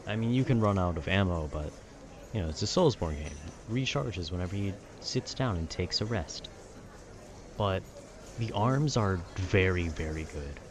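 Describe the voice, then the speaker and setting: A man casually rambling about a video game with nerdy enthusiasm, often halting to search for words. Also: sits low, lively intonation, noisy background. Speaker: voice actor making a rambling ambience video.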